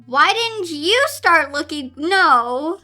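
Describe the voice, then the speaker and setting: A woman's voice: high-pitched, expressive, takes it slowly, bright, forceful tone, faint music behind. A woman hosting a casual story reading, giving dramatic, exasperated and sarcastic running commentary. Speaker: host reading fan fiction aloud.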